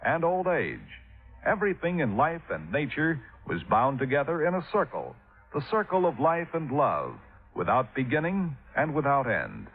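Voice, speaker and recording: Solemn American announcer over thin vintage radio. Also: male voice, medium pitch, breathy edge. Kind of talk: formal scripted narration. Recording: phone-like vintage radio recording with music.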